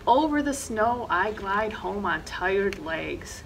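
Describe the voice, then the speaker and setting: A woman's voice: medium pitch, animated, takes it slowly, creaky, slight background noise, rough recording. A middle-aged woman reading a children's picture book aloud expressively, with dramatic emphasis on action words. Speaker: librarian reading storytime. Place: indoor library reading corner, seated.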